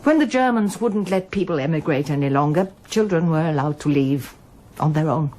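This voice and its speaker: An older woman in a radio drama, reserved and wistful. Her voice sits low and has a husky grain. She speaks hesitantly and with understatement, as a one-time performer who keeps much back. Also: lively intonation, moderate pace, dark tone. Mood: subdued, confiding. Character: reserved older woman, former pianist and child refugee, wistful. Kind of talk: radio drama acting, monologue and dialogue.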